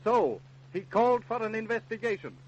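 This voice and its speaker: A man playing a police reporter who narrates true crime cases in a grave, deliberate old-time radio style. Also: high-pitched, sing-song. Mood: grave, dramatic. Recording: dull, lo-fi old radio recording.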